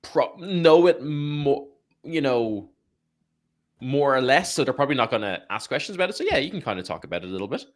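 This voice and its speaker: A man's voice: mid-range voice, a little fast. A man hosting a podcast, casually reading listener questions and passing them to co-hosts. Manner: lively, reads listener questions. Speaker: podcast host. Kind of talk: casual chat.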